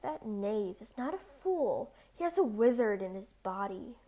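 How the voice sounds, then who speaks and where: A woman's voice: mid-range voice, sing-song, measured pace, dark tone. A woman voicing a huge, foolish, easily scared male giant in a storybook, with a growling, blustering manner. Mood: growling, alarmed.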